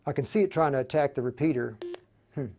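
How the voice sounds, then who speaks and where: A man hosting an unscripted live tutorial, speaking haltingly and often muttering to himself as he works. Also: mid-range voice, steady tone, warm tone. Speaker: host of a live radio tutorial.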